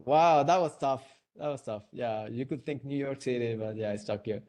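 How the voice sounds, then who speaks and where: A man's voice: mid-range voice, normal speed, crowd noise. A young man with a Venezuelan Spanish accent, hosting a live trivia webinar on a video call in a playful way, laughing and joking. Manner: playful, laughs often, hums and sings along. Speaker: webinar host.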